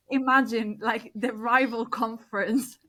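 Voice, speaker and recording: A woman hosting a podcast in casual chat, bubbly and quick to laugh. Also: a little high, pushed and bright, poor audio. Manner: bubbly and giggly. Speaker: podcast host.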